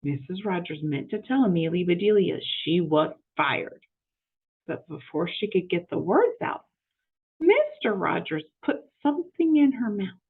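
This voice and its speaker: A woman reading a picture book aloud to children, expressive and explanatory, pausing to ask questions. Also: fairly low pitch, slightly rough. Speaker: children's story reader. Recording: muffled narrow phone-like audio.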